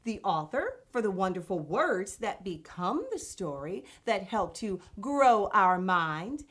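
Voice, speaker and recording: A woman hosting a children's read-aloud, narrating in an expressive, deliberate way as she addresses young listeners. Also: mid-range voice. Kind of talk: expressive children's storybook reading.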